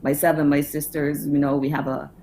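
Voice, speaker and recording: A woman's voice: low voice, flat delivery, brisk, dark tone, a little hiss or hum. An older woman with a Barbadian accent, talking conversationally as an interview guest.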